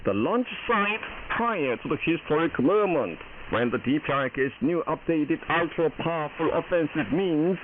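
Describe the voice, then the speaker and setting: A man's voice: on the high side, expressive, moderate pace. A male news announcer solemnly reads a formal, scripted propaganda bulletin in a stiff, declamatory way over shortwave radio. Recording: noisy narrowband shortwave AM radio broadcast.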